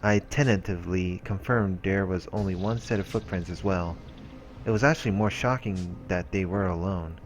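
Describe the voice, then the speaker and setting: A man's voice: low voice, moderate pace. A man reading visual novel narration aloud as a let's play host, with casual asides. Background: game music.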